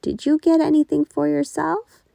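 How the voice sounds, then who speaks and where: A woman voicing a busy, loving storybook mother of a grown son, exclaiming with drawn-out emphasis. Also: high-pitched, flat delivery, gravelly, warm tone, no room sound, studio quality, voice pushed up. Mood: delighted.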